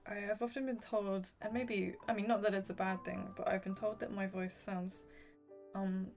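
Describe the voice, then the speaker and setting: A soft-spoken woman whose voice is going hoarse, chatting apologetically with viewers as she draws on a livestream. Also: medium pitch, flat delivery, normal speed, soft background music. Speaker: art streamer. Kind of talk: casual livestream chat while drawing.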